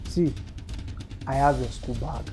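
A man with a Nigerian accent playing a cold, menacing kidnapper who speaks in deliberate threats in a screen drama. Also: medium pitch, dark tone, soft background music, close to an everyday voice. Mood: cold menace. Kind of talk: dramatic film acting.

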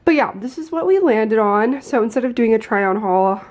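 A woman's voice: fairly high pitch, animated, moderate pace, a little mellow, muffled recording. A woman in her twenties chatting casually into her phone camera at home, rambling a little and laughing now and then. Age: 20s. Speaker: vlogger. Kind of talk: casual vlog chat. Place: home bedroom, indoors. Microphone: handheld phone camera.

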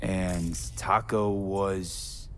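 A man playing a young street mercenary in a video game, blunt but caring, with a terse, casual, low-key delivery. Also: steady tone, drawn-out words, a bit bright, a little hiss or hum, exaggerated voice. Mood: gentle, curious. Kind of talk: naturalistic video game acting. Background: music in the background.

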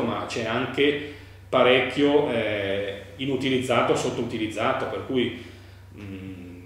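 A man, reflecting informally in an interview. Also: mid-range voice. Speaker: local administrator. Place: room indoors. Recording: very echoey room, distant mic.

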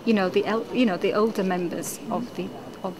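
A woman's voice: mid-range voice, fairly even intonation, brisk. A woman pageant contestant chatting in an interview, thinking aloud as she talks. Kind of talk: interview answers. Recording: crowd chatter behind.

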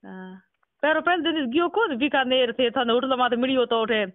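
Man, Rajasthani accent, very high voice, casual questioning talk, dry narrow phone line. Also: normal speed, no echo. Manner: questioning, repeats words back. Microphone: telephone. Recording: tinny, narrow phone call recording.

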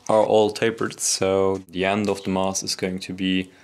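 A man's voice: deep voice, level tone, normal speed, a little hiss or hum. Young man explaining his workshop build. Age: young adult. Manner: easygoing, explains steps as he works. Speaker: hobby boatbuilder. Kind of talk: casual workshop vlog narration. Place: garage workshop, indoors. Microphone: action camera.

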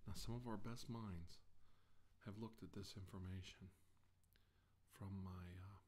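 A middle-aged man playing a grave scientist giving a hushed, hesitant deposition to an official in a quiet ASMR role-play. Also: deep voice, steady tone, a little slow, breathy edge. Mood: grave, measured. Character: grave scientist briefing a navy official after captivity.

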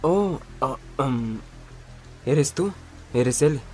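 Young male suspect for film dub, acted by a man: medium pitch, Latin American Spanish, defensive, defiant, scored with background music. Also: lively intonation, extremely slow, darkish tone, husky, exaggerated voice. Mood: startled, evasive. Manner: defensive, challenging. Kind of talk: amateur film dub acting. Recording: music under the dialogue.

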